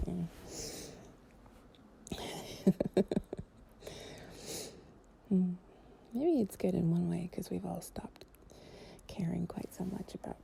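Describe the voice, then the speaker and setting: Soft, breathy, creaky low-voiced woman. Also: a little hiss or hum. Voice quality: soft, breathy, often dropping to a whisper. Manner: playful, sleepy, confiding. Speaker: audio roleplay performer playing a loving companion. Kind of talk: improvised intimate relaxation roleplay, casual chat to the listener.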